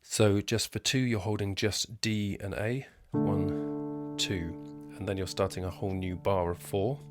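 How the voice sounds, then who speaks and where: Hoarse man teaching piano, low voice. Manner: relaxed, halting, counts beats aloud. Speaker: piano tutorial host. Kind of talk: casual piano tutorial. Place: at home, indoors. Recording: piano playing behind the voice.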